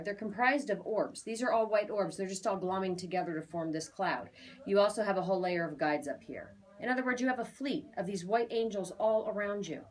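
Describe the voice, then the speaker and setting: A woman's voice: mid-range voice, a little hiss or hum. A woman giving a conversational spiritual reading as an aura reader, talking in a chatty, emphatic, assured way.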